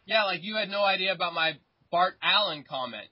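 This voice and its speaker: A nineteen-year-old man hosts a loose chat with friends, his fairly high voice husky and broken by stammered restarts. The sound is muffled and lo-fi. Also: expressive, a little fast. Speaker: podcast host. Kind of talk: casual chat among friends.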